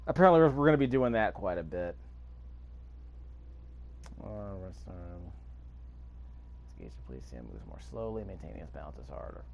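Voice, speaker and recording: A man with a fairly low voice hosts a gameplay video. He talks casually and rambles in an offhand way, reading prompts aloud. Faint noise sits behind his voice. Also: animated, moderate pace, creaky, pauses often, a little mellow. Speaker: gaming video host.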